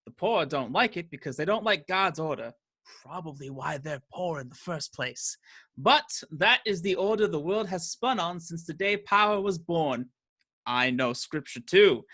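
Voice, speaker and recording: A haughty, animated villainous sheriff. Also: male voice, fairly high pitch, measured pace, husky, full character voice. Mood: imperious. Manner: pompous, domineering. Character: haughty, menacing High Sheriff of Nottinghamshire, male. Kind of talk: radio play acting.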